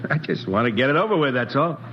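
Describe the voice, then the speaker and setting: A man's voice: mid-range voice, very animated, quick pace, long reverb tail, natural voice. A nervous, chatty lieutenant, played by a man, talks in a restless, informal way. The recording is dull and faintly hissy, like a 1950s radio broadcast. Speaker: expedition lieutenant. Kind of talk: 1950s radio drama acting.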